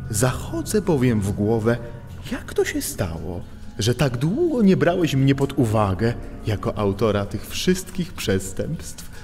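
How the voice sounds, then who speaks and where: A cocky, swooping cartoon master thief. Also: male voice, medium pitch, wildly swooping pitch, darkish tone. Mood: smug, taunting. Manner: smug, mocking, drawn-out theatrical delivery. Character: cocky, taunting animal master thief and gang boss. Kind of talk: children's audio drama acting. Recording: long cavernous reverb, music behind.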